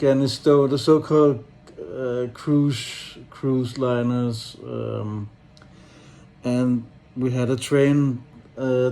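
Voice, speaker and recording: A man with a Danish accent giving a rambling, casual monologue, hesitant and halting, with blunt swearing. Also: medium pitch, dark tone. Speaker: solo activist and vlogger.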